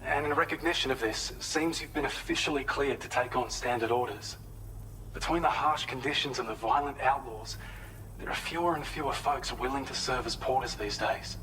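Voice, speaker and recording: An actor playing an earnest, helpful male researcher in a video game, giving a polite, measured, explanatory briefing. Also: mid-range voice, noisy background, close to an everyday voice. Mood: earnest, businesslike.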